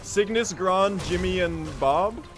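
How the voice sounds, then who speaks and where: A young Swedish man live-streaming a speedrun, chatting with his viewers in a jokey, self-mocking way and bursting into yells at the game. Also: sits high, slow. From Sweden. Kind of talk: live gaming stream chat. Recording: game music loud under the voice.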